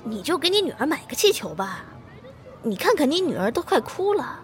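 A woman's voice: high-pitched, very animated, a little fast. A woman playing a chatty, cheerfully pushy middle-aged balloon vendor in an audio drama, with folksy, coaxing sales patter. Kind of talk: Chinese audio drama acting.

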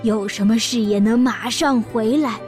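A woman's voice: fairly high pitch, fairly even intonation, moderate pace, a little mellow, faint music behind, lightly acted. A woman playing an overprotective middle-aged mother, fretful and pleading, in an audio drama. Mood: pleading, reluctant.

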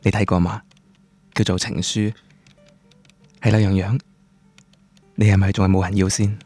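A casual young friend reads a message in Cantonese. His voice is lowish and very mellow, recorded dry in a radio drama style. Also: little pitch movement, moderate pace, husky, dry recording, faint music behind. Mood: casual, familiar. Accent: Cantonese from Guangdong.

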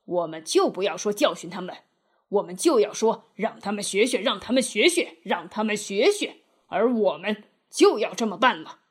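Stubborn storybook badger played by a woman: gruff, husky, firm, scolding. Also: medium pitch, expressive, normal speed, voice pushed down.

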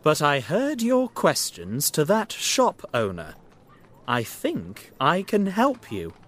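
A confident, polite male detective from an audio storybook, performed by a male reader. He speaks slowly and with great assurance in a fairly high, expressive voice, shrewd and deliberate. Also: exaggerated voice.

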